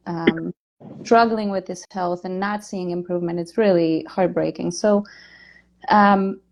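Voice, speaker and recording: A woman talks as an interview guest in a soft, fairly low voice. She speaks hesitantly, filling pauses with ums as she tells her story. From Poland. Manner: hesitant, many fillers, soft-spoken. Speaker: guest, nutritional therapist and nurse. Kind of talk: interview chat.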